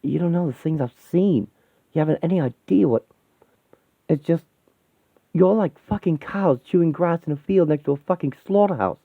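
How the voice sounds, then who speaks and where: A man's voice: a little high, normal speed, dry recording, voice pushed up. A man playing a frantic, foul-mouthed runaway teenage boy in a story, agitated and pleading. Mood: desperate. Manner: agitated, sweary, pleading outbursts. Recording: thin phone-like sound.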